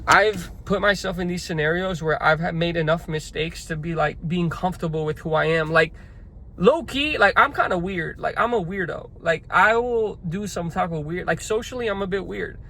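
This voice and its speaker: A man's voice: high voice, normal speed, bright, forceful tone. A young man talking to camera from his car on his way to lunch, rambling and reflective, restarting his sentences often. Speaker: vlogger. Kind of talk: casual talking-to-camera vlog. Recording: faint car noise behind.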